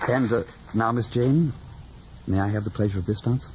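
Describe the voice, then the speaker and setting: An actor playing an amiable, smitten young gentleman in a period radio drama, courtly and effusive. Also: on the low side, normal speed, very noisy, close to an everyday voice. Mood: courtly, cheerful. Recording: dull radio recording with music behind.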